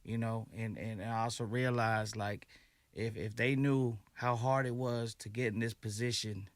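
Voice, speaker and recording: A man hosting a radio show, speaking in a calm, measured and reflective way in the studio. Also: lowish voice, no echo. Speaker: radio host. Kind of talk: radio interview.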